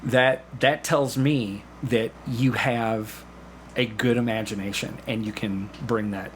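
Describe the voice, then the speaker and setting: A man's voice: lowish voice, steady tone, slow pace, slight background noise. A man being interviewed as a guest, explaining his work conversationally with illustrative examples. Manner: explanatory, acts out examples in quoted dialogue. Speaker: podcast guest, clinical hypnotherapist and community actor.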